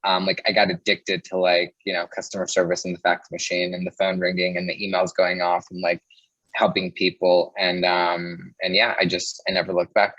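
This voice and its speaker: A man's voice: low-pitched, completely flat delivery, normal speed, a bit bright, dry recording, dull audio. A man who is an interview guest from the mortgage business, telling stories in a casual, chatty way and often saying "like". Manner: chatty, peppers speech with "like". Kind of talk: casual conversational storytelling.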